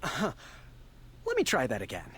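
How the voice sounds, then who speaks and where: A man's voice: medium pitch, huge pitch swings, normal speed, fairly bright tone, faint music behind. A man voicing an earnest, flustered young adventurer in a video game, hesitant and fumbling for words as he improvises. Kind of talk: animated adventure game acting.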